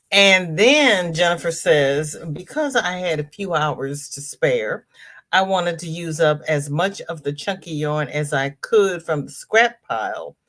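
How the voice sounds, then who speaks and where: A woman's voice: on the low side, fairly bright tone. A congested woman hosting a casual live crafting chat, greeting viewers by name and reading out their comments. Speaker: livestream host.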